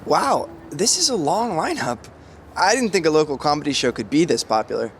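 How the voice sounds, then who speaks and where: A man's voice: on the high side, sing-song, fairly quick, background noise. An actor playing a hapless, self-pitying young man who sounds whiny and anxious.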